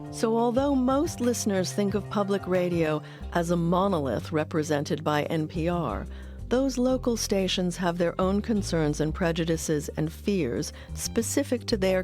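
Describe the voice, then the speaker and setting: A woman with a slightly low voice narrates a documentary as its host. She reads from a script, with faint music underneath. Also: a little mellow, no echo, clean studio sound.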